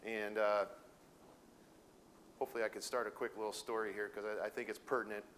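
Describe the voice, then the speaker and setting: A man's voice: fairly low pitch, little pitch movement, fairly quick, a bit bright. Middle aged guy w a minnesota accent, rambly folksy talk to a crowd, bit of background hiss. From Detroit, Michigan. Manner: folksy, rambling, many fillers and restarts. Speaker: welding sales manager presenting to educators. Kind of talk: informal presentation talk. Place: room with an audience.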